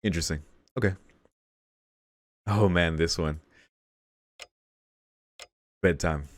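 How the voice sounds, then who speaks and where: Low-voiced man reading a story aloud. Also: slow. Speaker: let's play host reading a visual novel. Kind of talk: reading aloud with casual asides. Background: game music.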